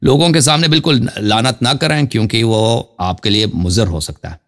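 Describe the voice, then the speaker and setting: A man's voice: medium pitch, moderate pace, fairly bright tone. A man hosting a religious call-in show, talking informally and courteously with callers and repeating words for emphasis.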